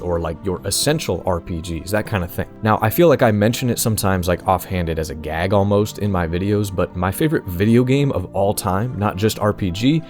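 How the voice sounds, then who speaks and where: A young man from Northern California hosting his video game channel, giving a relaxed, slangy update and answering viewer questions. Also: sits low. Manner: laid-back, conversational, slangy.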